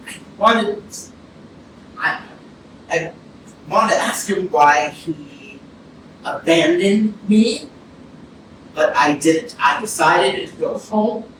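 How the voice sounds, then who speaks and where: An actor playing an evasive, shaken young man under police questioning in a staged reading, halting and defensive. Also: sits high. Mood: hurt, evasive. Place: indoor arts centre stage, staged reading. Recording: echoey theatre from far off, noisy.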